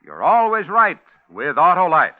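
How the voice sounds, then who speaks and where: A man's voice: on the high side, wildly swooping pitch, a little slow, soft background music. A man announcing a vintage radio commercial in an emphatic, polished salesman style. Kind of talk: scripted 1950s radio ad pitch. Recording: thin, phone-like old radio recording.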